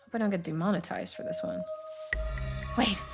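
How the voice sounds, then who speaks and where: A woman's voice: medium pitch, normal speed, over music. A woman game streamer reading out a story game and chatting with her viewers during a live playthrough. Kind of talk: live playthrough narration and chat.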